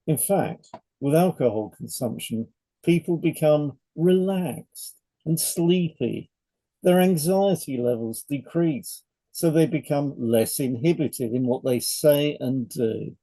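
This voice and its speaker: A man co-hosting a podcast, reading scripted educational narration in a measured, explanatory way. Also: a little high, warm tone, no room sound, low-quality recording. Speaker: podcast co-host, hypnotherapist.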